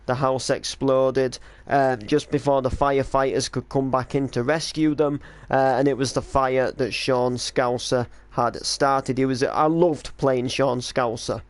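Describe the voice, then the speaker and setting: A man's voice: medium pitch, level tone, unhurried, dry recording. A man reminiscing casually on a stream as the creator of an online drama, explaining storylines in an informal, rambling way.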